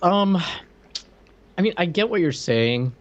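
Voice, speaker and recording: A man's voice: high voice, expressive, takes it slowly, a little hiss or hum. A man co-hosting a podcast in casual, informal chat over a slightly lo-fi recording. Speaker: podcast co-host. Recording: slightly dull, lo-fi microphone.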